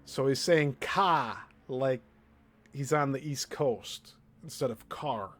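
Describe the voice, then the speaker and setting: A man's voice: medium pitch, takes it slowly, a bit bright, faint music behind. A man with a Midwestern accent hosting a live stream, commenting bluntly and with exasperation on clips he plays. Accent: Midwestern American. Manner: blunt, exasperated, laughs often. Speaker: show host.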